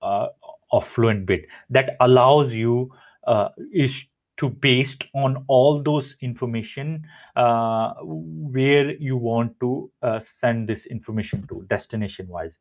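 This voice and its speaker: A man's voice: mid-range voice, slow pace. A man presenting a technical webinar over slides, explaining step by step with many fillers and restarts. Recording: tinny phone-like audio.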